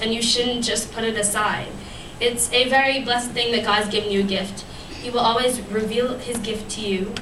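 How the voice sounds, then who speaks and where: A young woman giving an earnest church devotional to a fellowship, with many ums and restarts, her voice still worn from having lost it. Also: on the high side. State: recovering from a lost voice. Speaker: youth speaker at church fellowship. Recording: echoey room, distant mic, noisy.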